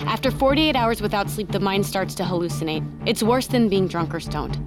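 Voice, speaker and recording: Skeptical woman survivor, audio drama actress: mid-range voice, firm, rational, loud score underneath. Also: medium pitch, animated, a little fast, music in the background, lightly acted. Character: level-headed, skeptical woman survivor taking charge.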